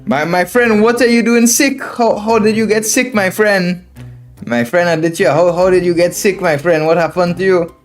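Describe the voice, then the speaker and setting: A man's voice: high voice, normal speed. Trinidadian man thinking aloud over music. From Trinidad and Tobago. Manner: thinks aloud, repeats phrases, addresses viewers as friends. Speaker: chess streamer and instructor. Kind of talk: live game commentary, explaining moves to viewers. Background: background music.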